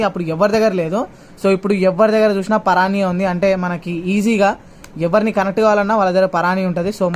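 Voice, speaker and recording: A man with a Telangana Telugu accent chatting casually as in an unboxing vlog, informally mixing in English words. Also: sits high. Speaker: motovlogger. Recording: faint background noise.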